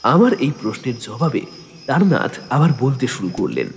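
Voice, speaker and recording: A man's voice: medium pitch, normal speed. A man playing a curious listener who narrates a horror audio story and questions a mystic in a dramatic way. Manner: animated, dramatic delivery. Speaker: framing narrator. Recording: long reverb with faint music.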